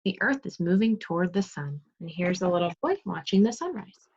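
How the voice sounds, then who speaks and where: A woman's voice: on the low side, lively intonation, a little fast, darkish tone, slightly rough. A woman teacher reading aloud and explaining to young children, asking questions and praising pupils as she goes. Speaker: second grade teacher. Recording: dull lo-fi sound.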